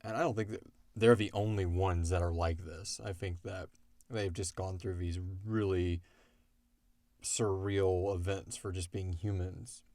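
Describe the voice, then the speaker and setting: A man's voice: sits low, steady tone, a little slow, a little mellow, no echo. A man talking in a casual, rambling, conversational way with lots of "like", as a show's creator answering listener questions. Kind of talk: casual Q&A discussion.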